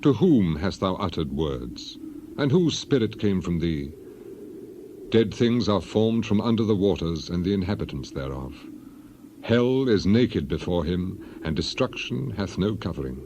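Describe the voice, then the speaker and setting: A man's voice: sits low, big pitch swings, unhurried, slight background noise. A man playing an aggrieved, devout ancient sufferer in a dramatized scripture reading, solemn and declamatory. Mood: solemn rebuke. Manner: solemn, declamatory, emphatic, archaic phrasing.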